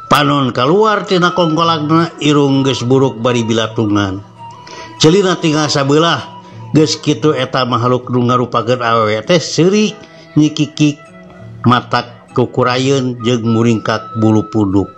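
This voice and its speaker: A male storyteller with a Sundanese accent narrates horror at a slow, deliberate pace. His delivery is dramatic, and music plays clearly underneath. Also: medium pitch, fairly bright tone. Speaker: storyteller, channel host. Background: background music.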